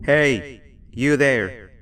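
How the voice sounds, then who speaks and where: A man playing a trapped stranger asking for help in an amateur game-based drama, halting and grateful. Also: medium pitch. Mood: anxious, relieved. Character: trapped man pleading for help over security cameras.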